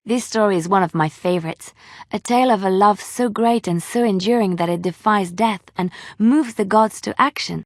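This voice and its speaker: Young female bard in a fantasy game: romantic, earnest, expressive, mid-range voice, very dry studio sound. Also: mid-range voice, no echo. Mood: tender, hopeful. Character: romantic, devout young woman bard, easily flustered. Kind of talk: video game character dialogue and storytelling.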